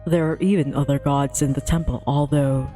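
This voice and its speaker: A woman voicing a gentle, reassuring male guardian tiger spirit in a visual novel, soft-spoken and earnest. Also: low voice, fairly even intonation, normal speed, soft background music, voice pushed down, close to an everyday voice. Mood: earnest, explaining.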